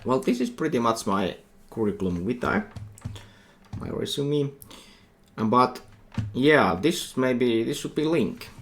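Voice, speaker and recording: A middle-aged man with a Finnish accent, a university lecturer talking through a coding tutorial while he types, thinking aloud in halting phrases. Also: medium pitch, lively intonation, fairly bright tone. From Finland. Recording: faint noise with keyboard typing.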